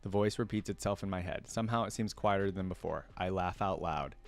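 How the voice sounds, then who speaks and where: A man's voice: low-pitched, brisk. A man hosting a reaction video, reading a story aloud and adding casual, conversational fan commentary.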